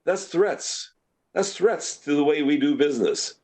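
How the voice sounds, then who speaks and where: A man speaking as a city councillor in a meeting, deliberate and halting, often repeating words. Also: a little high, animated, darkish tone. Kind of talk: council meeting debate remarks.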